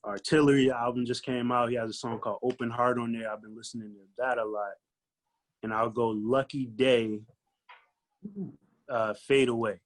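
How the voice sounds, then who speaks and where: American man, medium-pitched, loose casual talker. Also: fairly even intonation, normal speed, poor audio. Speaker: guest recording artist. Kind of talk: casual chat.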